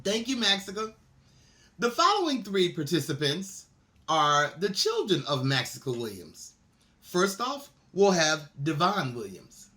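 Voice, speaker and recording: A man's voice: high-pitched, huge pitch swings, measured pace, bright, forceful tone. A man hosting a showcase, announcing and thanking the readers. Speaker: event host.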